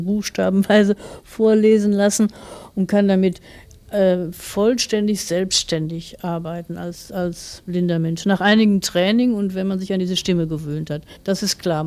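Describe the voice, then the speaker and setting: Woman in her 40s being interviewed, mellow voice, explains stuff. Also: mid-range voice.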